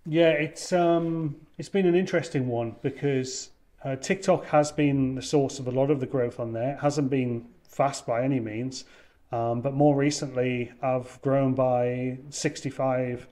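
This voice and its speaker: Mellow-voiced young man, halting and thoughtful. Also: medium pitch, steady tone. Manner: hesitant, many ums and restarts. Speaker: wine content creator guest. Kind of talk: casual interview over video call. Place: indoors, room with wine shelves. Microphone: over-ear headset on video call.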